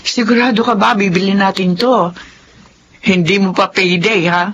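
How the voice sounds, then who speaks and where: A woman's voice: mid-range voice, fairly even intonation, normal speed, a bit bright, husky. An actress playing a practical, caring wife and mother who questions her husband, in a Filipino radio drama. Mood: wary. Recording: muffled, noisy radio drama recording.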